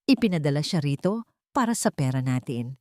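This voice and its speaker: A woman's voice: low-pitched, lively intonation, a little fast, darkish tone, no room sound, studio quality. A woman narrator voicing a scheming brother who feigns sympathy and scolds with scorn in a romance story. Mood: scornful, scolding. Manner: insinuating, scolding.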